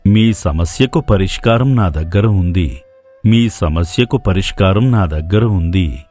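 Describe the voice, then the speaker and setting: A meditative sage from a moral tale, voiced by a man, speaks in a lowered voice. The delivery is solemn and chant-like. Music sits behind it. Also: animated, measured pace, darkish tone. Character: solemn male holy sage, repetitive and meditative.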